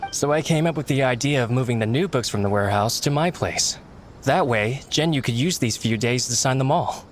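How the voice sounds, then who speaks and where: A man's voice: medium pitch, slight background noise, close to an everyday voice. A man voicing an earnest young male book editor who speaks sincerely and apologetically.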